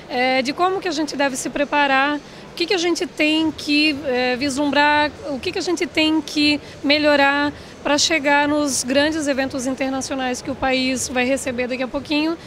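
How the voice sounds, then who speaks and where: A woman with a Brazilian accent asking an interview question, pausing between phrases. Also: high voice, fairly even intonation, fairly bright tone. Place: indoor trade fair booth. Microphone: handheld interview microphone.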